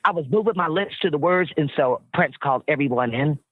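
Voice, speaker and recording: A woman with a very deep voice, telling stories bluntly and with profanity as a guest in a casual interview chat. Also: animated, a little fast, a bit bright, no room sound. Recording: tinny phone-like line.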